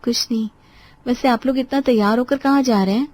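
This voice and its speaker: A woman's voice: a little high, a little fast, a little mellow, voice pushed up, lightly acted. A woman storyteller voicing a polite, obliging young daughter-in-law in a family story. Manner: deferential, obliging.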